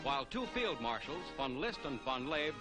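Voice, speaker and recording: A man's voice: medium pitch, very animated, moderate pace, music in the background. A man with an American accent reading 1940s newsreel narration in a formal, declamatory announcer style on a vintage soundtrack.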